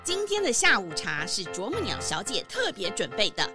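A woman narrating a children's audio drama in an expressive storytelling style. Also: medium pitch, moderate pace, music in the background.

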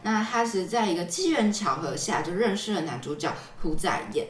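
A woman's voice: medium pitch, lively intonation, moderate pace, distant mic. A young woman with a Taiwanese Mandarin accent, a podcast host telling stories in a casual, explanatory way. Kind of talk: casual conversational storytelling. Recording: roomy echo, off-mic, music underneath.

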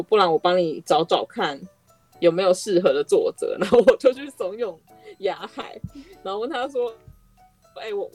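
A woman's voice: on the low side, a little mellow. A woman hosting a casual podcast chat in an enthusiastic, self-deprecating way. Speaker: podcast host.

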